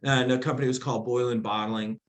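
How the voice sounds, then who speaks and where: A man's voice: medium pitch, quick pace. Chatty man telling stories with restarts. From Afghanistan, raised in the United States. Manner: animated, laughs often, frequent restarts. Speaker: podcast guest, entrepreneur. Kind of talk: casual storytelling conversation.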